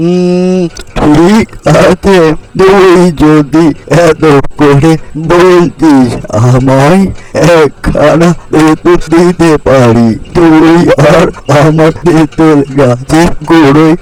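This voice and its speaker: A man voicing a scolding old village granny in a cartoon fairy tale, with drawn-out, exclamatory phrasing. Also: fairly high pitch, a little mellow, rough recording. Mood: scolding, grudging. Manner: slow, drawn-out, exclamatory delivery. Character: scolding old village granny guarding her tamarind tree.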